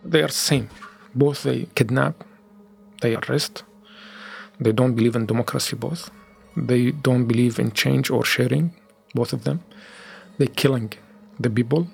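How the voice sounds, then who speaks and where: Male interviewee, Arabic native, Syrian accent, husky and gravelly, halting English, faint music bed. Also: medium pitch. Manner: halting second-language English with restarts. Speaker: interviewee, former activist. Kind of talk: testimony in an interview.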